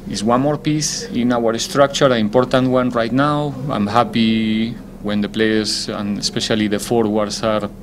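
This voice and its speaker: A man, a football head coach, answering press conference questions in a measured, deliberate way, often pausing to find his words. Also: medium pitch, little pitch movement, a little hiss or hum.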